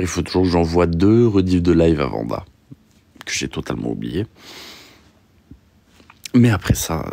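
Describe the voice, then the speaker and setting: A young man with a very low, gravelly voice, chatting casually as he answers his viewers. His delivery is halting and full of fillers and repeated phrases, and his pitch swings widely. The sound is close and dry. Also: a little mellow, dry recording. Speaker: streamer reading and answering chat. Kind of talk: casual livestream chat.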